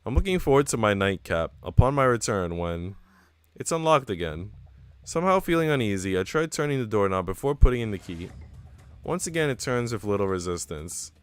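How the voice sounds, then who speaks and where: A man's voice: lowish voice, big pitch swings, a little fast, smooth, no room sound. A man reading a visual novel aloud as an earnest, reflective young woman who hosts a radio show. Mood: self-critical, uneasy. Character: earnest, self-doubting young woman radio host in love. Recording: music behind the voice.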